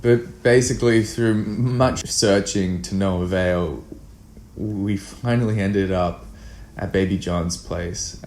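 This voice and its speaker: A man with a very low voice talks casually as an interview guest. He is earnest and emphatic, circling back to repeat key phrases. There is some noise and room ambience behind him. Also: fairly even intonation, slow, darkish tone. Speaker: podcast guest, filmmaker.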